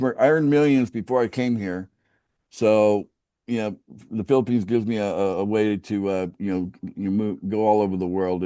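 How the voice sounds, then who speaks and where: A middle-aged American man from the West Coast, pitching a business opportunity on a casual group call, often restarting sentences and asking the group for agreement. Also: dark tone, no echo, studio quality. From United States, West Coast. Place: outdoors by a home pool.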